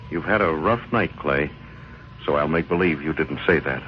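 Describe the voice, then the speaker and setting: An actor playing a steady, dependable friend in an old-time radio crime drama, measured, placating and a little evasive. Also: male voice, extremely low pitch. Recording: muffled, hissy old radio recording.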